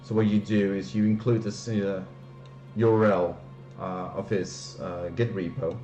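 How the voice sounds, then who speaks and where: Flemish man with a deep voice. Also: flat delivery, a little slow, warm tone, echoey room, highs cut off, faint music behind. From Belgium, Flemish part. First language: Dutch. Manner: hesitant, many fillers and restarts. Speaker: streamer. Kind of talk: casual live-stream walkthrough.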